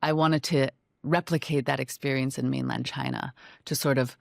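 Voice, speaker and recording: Gravelly-voiced thoughtful woman filmmaker. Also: extremely low pitch, fairly even intonation, no echo, studio quality. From Hong Kong. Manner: thoughtful, measured, frequent "you know". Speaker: documentary filmmaker guest. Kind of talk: reflective interview answers.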